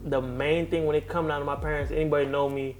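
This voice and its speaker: A relaxed man with a Haitian-influenced accent chats as an interview guest, slipping in street slang and laughing easily. His voice sits a bit high for a man. Faint music plays underneath. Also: fast. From second-generation Haitian family. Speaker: tattoo artist, guest. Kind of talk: casual interview talk.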